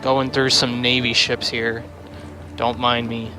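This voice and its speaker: A man's voice: mid-range voice, monotone, slow. A man giving casual livestream commentary on a game, rambling and thinking aloud as he plays. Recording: music behind the voice. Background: game music.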